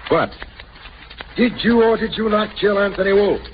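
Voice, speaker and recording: An actor playing a solemn, pompous district attorney in a theatrical 1930s radio melodrama. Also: male voice, high-pitched, moderate pace, close to an everyday voice. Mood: accusing. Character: grave, dramatic district attorney cross-examining a witness. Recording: noisy, dull 1930s radio recording.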